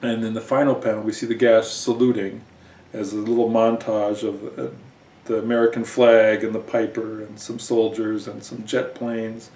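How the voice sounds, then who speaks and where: A man narrating a comic story informally as its author, halting with wry asides. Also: lowish voice, level tone, a little mellow. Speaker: author and host reading own story. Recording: roomy, distant mic, faint noise.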